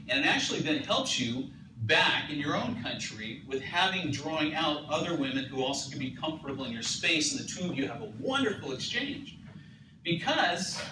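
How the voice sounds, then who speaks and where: A man telling a lively story to a group, often laughing as he speaks. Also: a little high. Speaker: speaker sharing his story. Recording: echoey room, distant mic, noisy.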